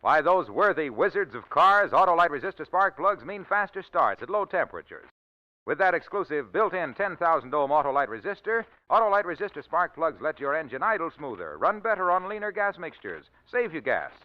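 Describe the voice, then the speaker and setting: A man delivering an emphatic, salesman-like sponsor pitch as a 1940s radio announcer. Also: very animated, a little fast. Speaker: commercial announcer. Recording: dull old radio recording.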